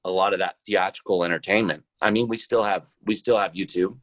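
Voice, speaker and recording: Very low-voiced man, dry sound. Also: steady tone, brisk, fairly bright tone, dry recording. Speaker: podcast guest, role-playing show founder and trucker. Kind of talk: casual interview conversation.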